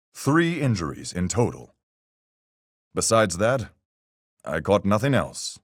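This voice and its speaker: A man voicing a proud, blunt seafaring character in a video game, with gruff, hearty sailor talk. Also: low voice, no echo, clean studio sound, full character voice. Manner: hearty sailor talk with aye, lass, mate. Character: boastful, proud seafaring man, blunt but honest, in murder trial.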